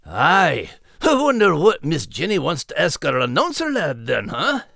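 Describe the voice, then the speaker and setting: Cheeky, swooping Scottish animal character. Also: male voice, on the high side, wildly swooping pitch, unhurried, vocal fry, fairly bright tone, no echo, studio quality, heavily acted. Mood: teasing. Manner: folksy, teasing banter. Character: teasing Scottish male animal podcast host, cheeky and folksy. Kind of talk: scripted children's podcast character banter.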